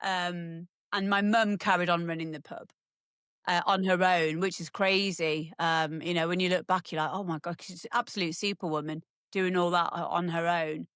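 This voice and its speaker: A woman's voice: lowish voice, fairly even intonation, moderate pace, fairly bright tone, clear, resonant tone, no echo. A woman, chatting as a podcast guest, rambling with lots of ums and restarts. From England. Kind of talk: casual chat.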